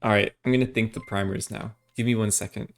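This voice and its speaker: A man's voice: lowish voice, fast, gravelly. A male streamer chatting while he plays and reading out thanks to his viewers one by one. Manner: reads out thanks for subscriptions in a flat list. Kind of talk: casual gaming livestream chat.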